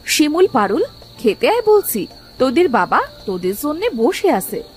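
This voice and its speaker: A woman's voice: high voice, very animated, normal speed, soft background music. A woman voicing an anxious village wife and mother in a cartoon fairy tale, plaintive, scolding and urging. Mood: calling.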